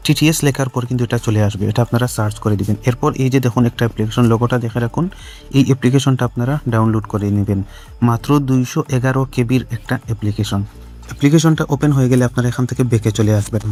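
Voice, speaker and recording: A man hosts a step-by-step tech tutorial at a quick pace. He sits at an average male pitch and repeats instructions directly to his viewers, with music playing behind him. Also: darkish tone. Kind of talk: scripted-sounding how-to narration addressed to viewers. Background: background music.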